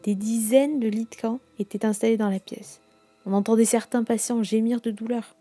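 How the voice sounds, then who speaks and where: A woman playing a composed, attentive 1950s village doctor in an audio drama, speaking in a measured, questioning way. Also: fairly high pitch, fairly even intonation, warm tone, no room sound, faint music behind, natural voice. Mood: concerned, reflective. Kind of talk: audio fiction acting, journal narration.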